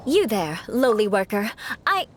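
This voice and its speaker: A woman's voice: medium pitch, very animated, slight background noise. Haughty, composed young noblewoman knight. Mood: cool, threatening. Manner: haughty, formal, measured phrasing. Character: proud young aristocratic woman knight, formal, vengeful, composed. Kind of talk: video game voice acting.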